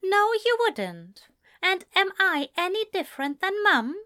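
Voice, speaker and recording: Woman voicing a calm uncle, measured, gentle, slow, lively pitch. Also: full character voice. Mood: patient. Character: patient, measured middle-aged male guardian.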